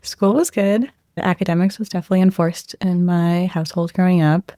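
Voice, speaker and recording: A young woman, a medical resident, answering interview questions in a soft, reflective way, often hedging with "I think" and "like.". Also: lowish voice, steady tone, a little fast, no echo, studio quality. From Israel, raised near Boston.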